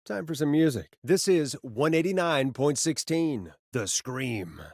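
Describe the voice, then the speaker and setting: Late-night radio DJ, man, weary and sarcastic, lively swinging intonation, dry close studio sound, game dialogue. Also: on the high side, a little slow, no room sound. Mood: upbeat on-air patter. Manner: wry, exasperated, conversational. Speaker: radio DJ and call-in host. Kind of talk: video game voice acting, radio patter and banter.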